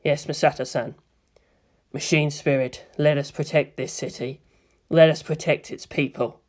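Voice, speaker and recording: A woman voicing a quiet, intense, menacing teenage boy pilot in a fan fiction reading. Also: deep voice, steady tone, moderate pace. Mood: solemn, intense.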